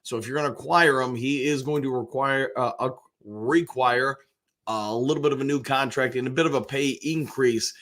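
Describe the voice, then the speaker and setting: An American man with a slightly hoarse voice, chatting in a rambling, conversational way as he hosts a casual live sports fan show. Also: mid-range voice, normal speed, a bit bright. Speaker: sports show host, basketball coach and realtor.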